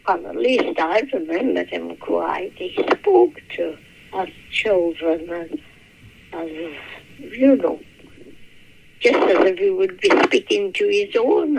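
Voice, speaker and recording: An elderly woman, reminiscing in a halting, musing, creaky voice. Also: medium pitch, big pitch swings, noisy background. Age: 80s or older. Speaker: interviewee recalling childhood memories. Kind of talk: reminiscing interview. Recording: muffled, lo-fi radio-like sound.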